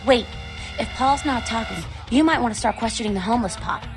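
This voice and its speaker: Game role: idealistic young female vampire rebel played by a woman, brash and impassioned, higher register, music underneath. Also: brisk, close to an everyday voice. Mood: wary, matter-of-fact. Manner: blunt, impassioned, sardonic. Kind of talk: video game voice acting. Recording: music behind the voice.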